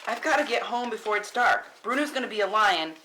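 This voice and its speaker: A woman's voice: medium pitch, lively intonation, fairly quick, natural voice. A woman playing an anxious, angry, sweary working mother at a film script table read, in a noisy live recording. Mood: furious, anxious. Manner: tense, exasperated, sweary. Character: frantic, foul-mouthed working mother and wife, angry, anxious.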